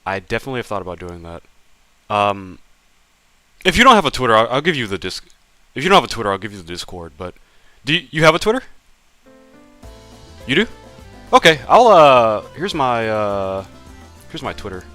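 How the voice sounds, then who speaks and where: A man's voice: medium pitch, big pitch swings, a little fast, pauses often, bright, forceful tone, dry recording, soft background music. A young man drawing live on stream, chatting casually with viewers, stammering and repeating words, laughing often.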